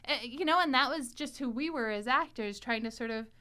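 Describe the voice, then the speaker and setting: A woman hosting a casual panel conversation in an animated, joking way, laughing easily. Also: sits high, a little fast, smooth. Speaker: podcast host.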